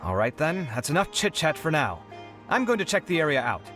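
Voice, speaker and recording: Dramatic young guy, lighter higher voice, swoops around, game character. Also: brisk, music in the background. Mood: determined. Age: young adult. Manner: theatrical, expressive. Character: dramatic, proud young man, exasperated but honourable. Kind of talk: video game voice acting.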